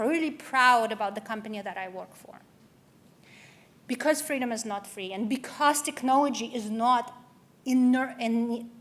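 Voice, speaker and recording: A woman from Russia giving a personal, earnest conference keynote, with frequent ums and restarts. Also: on the high side. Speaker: keynote speaker. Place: event hall.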